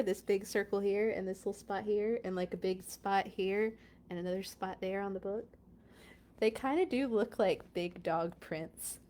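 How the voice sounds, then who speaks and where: A woman reading a children's picture book aloud in an expressive, playful way, with chatty casual asides. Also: medium pitch.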